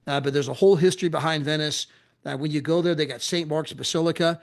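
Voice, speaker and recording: Middle-aged American man, lively promoter, rapid-fire destination lists, slightly high voice. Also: creaky. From USA. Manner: animated, repetitive, chuckling asides. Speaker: travel club promoter. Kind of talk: enthusiastic sales pitch.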